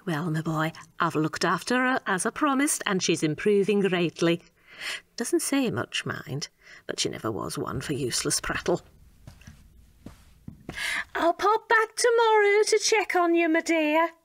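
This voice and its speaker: A woman's voice: mid-range voice, expressive, a little slow, dry recording, lightly acted. An actress playing a kindly, chatty older woman, a motherly family friend, in a radio drama. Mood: chatty, affectionate.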